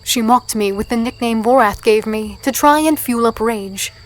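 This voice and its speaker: A woman's voice: on the high side, moderate pace, soft background music, close to an everyday voice. A woman playing a plucky, spirited fighter, telling her story in earnest first person in a fantasy audio drama. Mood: tense storytelling. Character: plucky, spirited small female fighter and archer.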